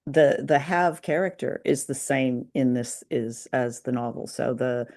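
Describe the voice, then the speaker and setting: Low-key creaky-voiced woman in conversation. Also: level tone, a little slow, darkish tone. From Canada. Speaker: podcast co-host. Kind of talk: conversational discussion and review.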